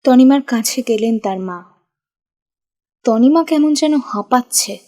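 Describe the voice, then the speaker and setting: A woman's voice: fairly high pitch, animated, unhurried, a little mellow. A woman narrating a scripted audio love story.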